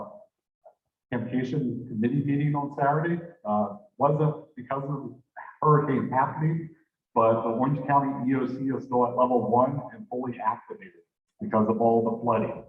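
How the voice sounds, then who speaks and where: A man making casual announcements at a club meeting, talking in a loose, conversational way and correcting himself as he goes. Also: medium pitch. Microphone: handheld microphone held at a distance. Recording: tinny, echoey room from far off.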